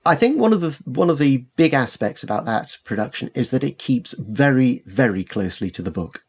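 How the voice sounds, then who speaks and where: A man's voice: mid-range voice, big pitch swings, a little fast, slightly rough. A man speaking as an expert interview guest, conversational and hesitant, often repeating words for emphasis. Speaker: interview guest, literary scholar and editor.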